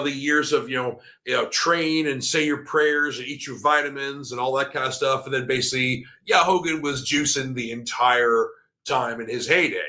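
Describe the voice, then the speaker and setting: A man's voice: medium pitch, bright, forceful tone. A middle-aged man hosting a live-streamed wrestling talk show, rambling and opinionated with lots of fillers, his voice slightly scratchy from a cold. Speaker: wrestling podcast host.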